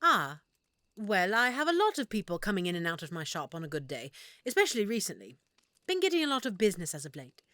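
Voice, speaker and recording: A woman's voice: mid-range voice, wildly swooping pitch, quick pace, no room sound, studio quality. A woman playing a shy half-elf shopkeeper. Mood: guarded. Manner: stammering, soft and nervous. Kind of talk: audio roleplay acting.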